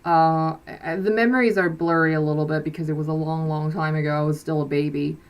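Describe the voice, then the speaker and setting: A woman's voice: deep voice, steady tone, fast, clean tone. A young woman from South Korea, a native Korean speaker, chatting casually on a live stream from her bedroom, rambling with many fillers and restarts. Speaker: livestream host. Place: bedroom with blackout curtain.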